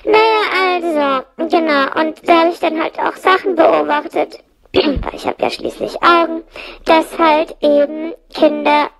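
Casting: a squeaky little worry-eating plush monster, extremely high and gravelly, cheeky, rambling, self-pitying, for a comic audio play. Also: female voice, steady tone, measured pace, low-quality recording. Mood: chatty. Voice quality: creaky, strained. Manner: rambling, throat-clearing, mock-earnest asides. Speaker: host.

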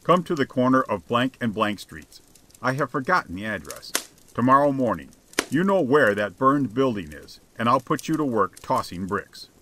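A man voicing a prosperous, bullying householder in an audiobook, gruff, humorless and resentful. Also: medium pitch, big pitch swings, moderate pace, bright, forceful tone, a little hiss or hum, poor audio. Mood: grudging. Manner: blustering, snapping, humorless. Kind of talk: dramatized audiobook character.

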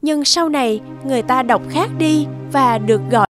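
A woman reading scripted narration for an animated folktale. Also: sits high, moderate pace. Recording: music behind the voice.

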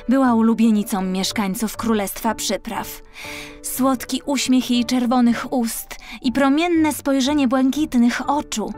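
A woman narrating a scripted fairy tale for children, an audiobook storyteller with expressive, carefully phrased delivery. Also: on the high side.

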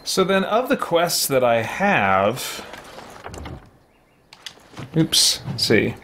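Middle aged guy doing a casual lets play, thinking out loud, average pitch, bit of noise behind. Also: very animated, very slow. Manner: thinking aloud, musing. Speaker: let's play host. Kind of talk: casual gameplay commentary. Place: small indoor room with shelves.

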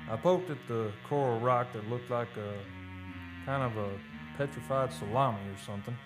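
A man's voice: mid-range voice, moderate pace, music in the background, close to an everyday voice, rough recording. An actor playing a plain-spoken oil-rig worker in a live old-time radio play, talking in a folksy, conversational way as he tells his tale. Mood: hushed wonder.

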